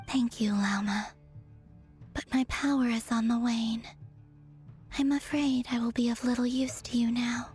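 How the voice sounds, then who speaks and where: An actress playing a weary, melancholy moon goddess in a video game, breathy and whispery, speaking with slow resignation. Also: female voice, a little high, steady tone, darkish tone, faint music behind. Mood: resigned.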